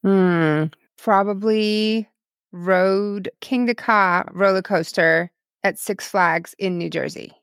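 A woman's voice: medium pitch, takes it slowly, fairly bright tone, smooth, dry recording, studio quality. A young woman from Ukraine, speaking English with a Ukrainian accent and Russian as her first language, earnestly recalling her past as an interview guest. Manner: earnest, reminiscing, plain non-native phrasing. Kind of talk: personal testimony interview.

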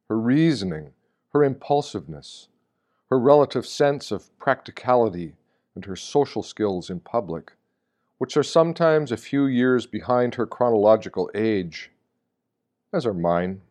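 A man reading a reflective first-person meditation from a script, with a measured storytelling delivery. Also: fairly low pitch, animated, a little mellow. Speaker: reader of meditations.

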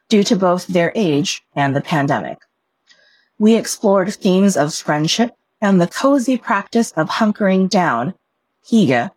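A woman with a slightly low voice reads a prepared report aloud. Her delivery is measured and careful, with lively movement in pitch. The recording is close and dry, with little sense of a room. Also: no room sound, rough recording. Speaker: reader of a written report. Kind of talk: scripted read-aloud of a staff report.

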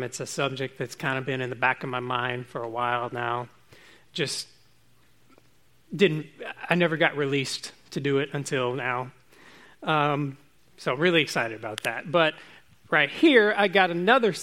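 A man preaching a relaxed, informal sermon to his congregation, chatty and self-joking. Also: mid-range voice.

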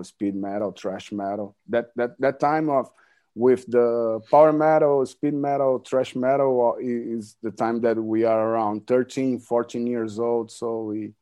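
Brazilian guy, portuguese first language, slow mellow talk, lots of restarts and laughs. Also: mid-range voice. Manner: halting, repeats words, laughs often. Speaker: band member, guest. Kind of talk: casual interview chat.

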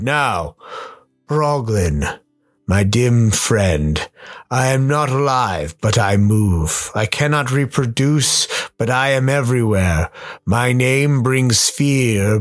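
A man playing a sly, towering demonic gardener in a radio play, courteously menacing, teasing and unhurried. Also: on the low side. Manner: unhurried, teasing, mock-polite. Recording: music behind the voice.

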